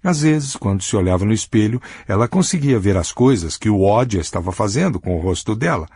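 Husky low male audiobook narrator. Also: expressive, fairly quick, a little mellow, no room sound. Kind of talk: scripted narration.

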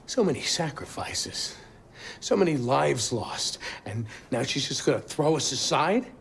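An actor playing an earnest, middle-aged male resistance coordinator in a game, heartfelt and a little halting. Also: medium pitch, big pitch swings, vocal fry, slight background noise. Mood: betrayed, resolved.

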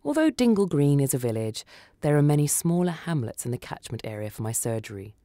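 A woman's voice: low voice, big pitch swings, brisk, warm tone, no echo. A woman reading a first-person short story for radio as scripted narration. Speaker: story reader.

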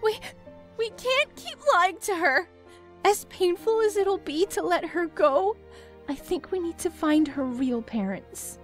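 A woman's voice: very high-pitched, animated, measured pace, soft background music. A woman voicing a bossy, volatile young female warrior in an anime parody dub, with a domineering, dramatic manner. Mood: tender, theatrical.

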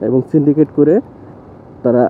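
A man's voice: fairly high pitch, steady tone, moderate pace. A young man, talking casually to camera while riding a motorbike on rural roads, loose and opinionated. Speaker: motovlogger. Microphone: phone mounted on motorbike. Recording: thin phone-like sound, faint road noise.

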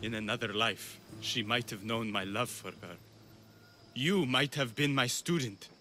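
A man's voice: medium pitch, unhurried, natural voice. Wistful Greek philosopher played by a man. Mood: grieving, resigned. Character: wistful middle-aged Greek philosopher, lovelorn and gentle. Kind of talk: video game dialogue acting. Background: game music.

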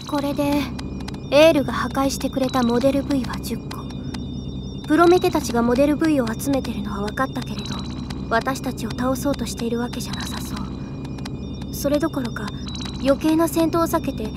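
A woman voicing a caring, serious young female commander with earnest, measured speech in a game drama. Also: high-pitched, noisy background, close to an everyday voice. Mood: troubled, earnest. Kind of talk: Japanese game audio drama acting. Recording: music behind the voice.

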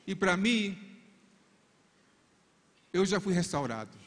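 A middle-aged man whose first language is English preaches in careful Portuguese, his voice on the higher side for a man. He prays fervently into a handheld mic, repeating "Father" between phrases. Long reverb from a big arena hangs on every line. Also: big pitch swings, slow, fairly bright tone, a little hiss or hum. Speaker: couple's coach. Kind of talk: preaching and prayer at a church conference. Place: large arena stage with a crowd. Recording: long reverb of a large hall.

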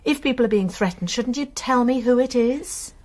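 An actress playing a kind, probing college nurse who turns amateur sleuth in a radio crime drama, speaking gently and often in a hush. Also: female voice, on the high side, moderate pace, close to an everyday voice. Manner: gentle, questioning, often hushed.

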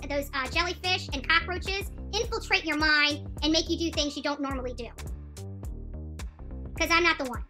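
A woman in her forties scolds and challenges as she addresses her livestream audience. Her voice is high and very bright, forceful and quick, with music playing behind her. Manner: confrontational, scolding. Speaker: livestream channel host. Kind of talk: indignant livestream rant to viewers. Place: small room indoors, seated on a couch.

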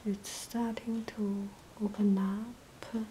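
Woman vlogger, breathy half-whisper, low energy, slow, flat delivery. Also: a little hiss or hum. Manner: hesitant, many pauses and fillers. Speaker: tea vlogger. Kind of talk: casual show-and-tell narration. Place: indoors at a table, off camera.

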